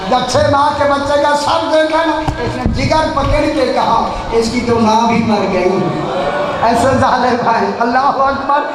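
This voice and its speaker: Man, mourning preacher, very high voice, wailing chant, shouting lament, booming echo. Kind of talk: impassioned mourning sermon recitation. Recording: very echoey hall.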